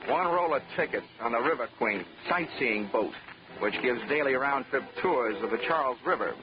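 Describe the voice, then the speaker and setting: A hard-boiled male investigator played by an actor with a medium-pitched voice. He is dry, sardonic and clipped, wisecracking through a muffled 1940s radio drama with music. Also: expressive, normal speed, close to an everyday voice. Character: wry, hard-boiled male insurance investigator narrating his case.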